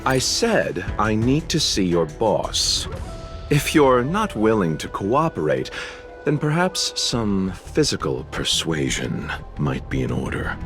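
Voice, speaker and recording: A man's voice: fairly low pitch, huge pitch swings, slow, exaggerated voice. A man voicing a suave, mysterious merchant, polite and theatrical with an edge of threat, in a video game. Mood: threatening. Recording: light game music behind.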